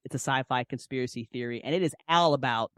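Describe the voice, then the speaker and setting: A woman in her forties chatting candidly and informally as an interview guest, with expressive, slangy delivery. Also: a bass voice, quick pace, no room sound, studio quality. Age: 40s. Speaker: podcast guest, audio drama creator and writer. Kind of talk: casual, candid interview chat.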